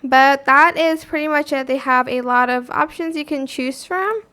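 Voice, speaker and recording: Girly high voice, woman doing a laid-back howto vid, kinda offhand, walking thru steps. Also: normal speed, bright, forceful tone. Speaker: tutorial video host. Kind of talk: casual how-to tutorial narration.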